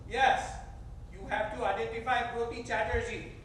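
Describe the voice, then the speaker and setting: A man's voice: very high voice, fairly even intonation, moderate pace, fairly bright tone. Man playing curt police officer, echoey hall. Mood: cold, official. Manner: cold, interrogating, clipped. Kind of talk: staged reading of a play. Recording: echoey theater, distant mic, faint noise.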